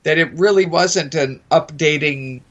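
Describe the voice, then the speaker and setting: On slightly dull, lo-fi audio, a man with a high voice chats as a podcast co-host. His delivery is conversational and a bit tentative, circling back over phrases in a casual review. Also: measured pace. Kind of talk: casual film review chat.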